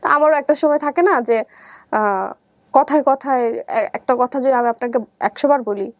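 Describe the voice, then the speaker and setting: High-pitched young Bangladeshi woman. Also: normal speed, no room sound. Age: young adult. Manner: conversational, often re-enacts quoted dialogue. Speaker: guest telling her life story. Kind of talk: personal storytelling in an interview.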